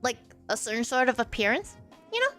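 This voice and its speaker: A woman in her forties who grew up in Malaysia, a streamer answering chat in a halting way with many fillers. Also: fairly high pitch, very animated, bright, forceful tone, soft background music. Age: 40s. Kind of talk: live stream chat reading viewer comments.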